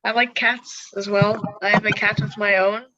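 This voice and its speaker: A man's voice: high voice, little pitch movement, normal speed, bright, forceful tone, dry recording, dull audio. A man giving a hesitant, rambling self-introduction on a video call. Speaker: participant.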